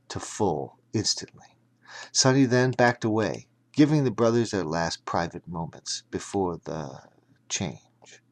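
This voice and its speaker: A man narrating a children's audiobook dramatically, in deliberate, emphatic, broken phrases. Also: fairly low pitch.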